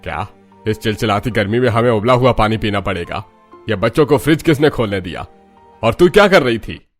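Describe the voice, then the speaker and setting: A man's voice: fairly low pitch, animated, fairly quick, soft background music. A man voicing a demanding middle-aged father-in-law in a cartoon story, tired and grumbling. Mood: annoyed. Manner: grumbling, commanding. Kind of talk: Hindi cartoon story voice acting.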